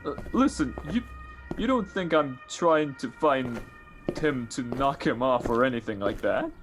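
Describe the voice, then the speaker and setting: A man's voice: mid-range voice, lively intonation, measured pace, music in the background, lightly acted. A man playing a dim, shifty, defensive ex-con in a 1940s-style detective radio play, stammering and talking in slang. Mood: evasive, nervous. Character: dim, shifty, defensive male small-time ex-con crook.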